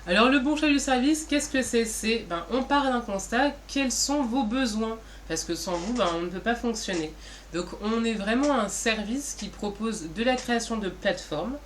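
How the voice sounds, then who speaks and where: A woman's voice: fairly high pitch, moderate pace. Explanatory woman over noisy background. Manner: didactic, poses questions then answers. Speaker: co-presenter. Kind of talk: informal explanatory business presentation.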